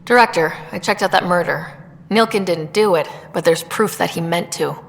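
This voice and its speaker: An actress playing a direct, steady female Pathfinder in a video game, speaking plainly. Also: fairly low pitch, normal speed, vocal fry, in a large hall.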